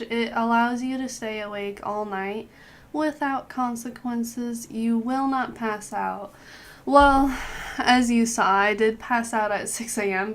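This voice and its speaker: A young woman, hoarse from a cold, giving a casual game guide voice-over and often clearing her throat. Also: mid-range voice, a bit bright. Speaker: gaming youtuber.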